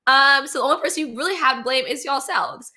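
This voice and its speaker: A woman's voice: high voice, fast talker, sharp tone. A young woman hosting a casual, chatty online show in a playful, teasing, informal way. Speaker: talk show host. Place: remote livestream.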